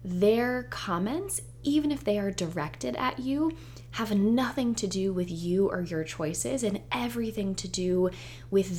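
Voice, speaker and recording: Woman, young adult, solo podcast host; voice a bit hoarse, upbeat and encouraging delivery. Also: medium pitch, a little mellow, slight background noise. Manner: chatty, upbeat and reassuring. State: hoarse, nearly losing her voice. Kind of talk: solo podcast intro monologue.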